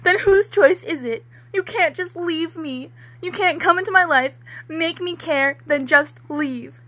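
A woman's voice: extremely high pitch, a little slow, bright, forceful tone, dry recording, voice pushed up, exaggerated voice. A woman playing a melodramatic, heartbroken teenage girl, pleading and overwrought, in an amateur dramatic fan fiction reading. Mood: tearful pleading.

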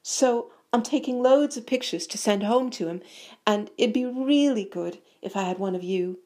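A woman voicing an eager, starstruck young schoolboy with an excited, gushing manner, in a storybook narration. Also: fairly high pitch, moderate pace, voice pushed up, close to an everyday voice. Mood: breathless excitement. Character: eager, starstruck small schoolboy fan, breathless and chatty.

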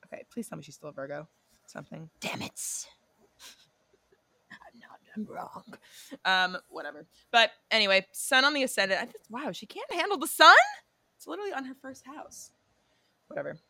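A woman's voice: a little high, sing-song, normal speed, long pauses, pushed and bright. A young woman co-hosting a podcast, chatting casually and swearing freely, often drifting off and correcting herself. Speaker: podcast co-host. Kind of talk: casual chat about a reality show and astrology.

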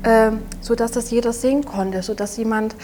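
A young woman in her late twenties speaks with a clear Saxon German accent. Her voice sits a little high, and she talks haltingly and softly, often restarting her sentences. A faint noise runs underneath the recording. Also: steady tone. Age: 20s. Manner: halting, soft-spoken, with frequent restarts. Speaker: interview guest. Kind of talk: personal testimony in an interview.

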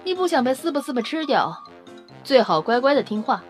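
A woman playing a confident, teasing scientist in an audio drama, brisk and matter-of-fact with a playful, self-satisfied manner. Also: sits high, sing-song, faint music behind. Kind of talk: Chinese audio drama acting.

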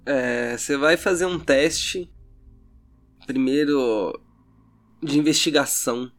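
A man's voice: mid-range voice, takes it slowly. A Brazilian man narrating a tabletop roleplay as game master, with informal, slangy speech. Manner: colloquial, slangy. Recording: music behind the voice.

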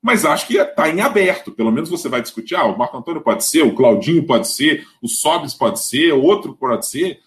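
A man with a Brazilian Portuguese accent hosting a casual football live stream, animated and often repeating words as he restarts. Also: a little high, a little fast, hardly any pauses. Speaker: host.

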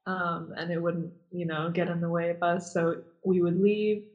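A woman's voice: on the low side, fairly even intonation, moderate pace, lo-fi sound. A young woman from Nazareth, a guest in a casual interview, talking hesitantly with many fillers and restarts. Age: young adult.

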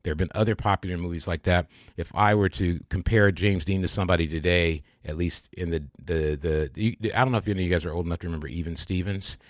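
An older man with an American accent, hosting a reflective religious podcast in a halting, conversational way. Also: deep voice, fairly even intonation, no echo, clean studio sound. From American Midwest. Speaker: podcast host. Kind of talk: reflective religious talk to a small group.

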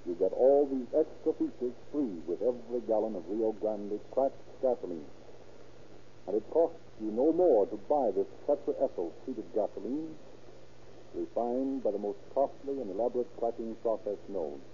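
A man's voice: fairly low pitch, animated, normal speed, lo-fi sound. A man announcing a scripted commercial in the style of 1930s radio advertising. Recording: thin, noisy old radio recording.

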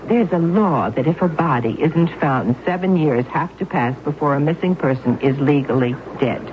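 An actress playing Aunt Tim, an anxious, God-fearing aunt who pleads and frets over a risky plan. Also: female voice, deep voice, lively intonation, normal speed. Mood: worried. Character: fretful, pious older aunt, anxious and doubtful. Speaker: supporting character. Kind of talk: 1970s radio drama acting. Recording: muffled old radio broadcast with hiss.

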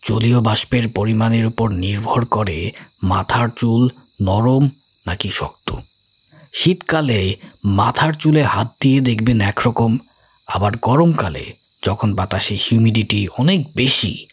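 A man playing a brilliant, calmly amused middle-aged sleuth who explains his reasoning in a measured way, in an audio story. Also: on the low side, slight background noise. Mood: friendly, explaining.

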